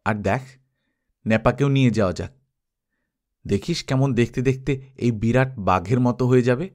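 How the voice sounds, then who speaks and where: Enthusiastic, talkative boy dreamer for a storybook, read by a man, mid pitch. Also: natural voice. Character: eager boy dreaming of moon ventures.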